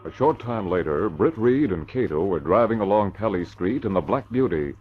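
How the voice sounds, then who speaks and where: A dull vintage radio recording of a male narrator with faint music behind. His voice is very low, telling a crime story in old-time scripted style. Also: lively intonation, moderate pace.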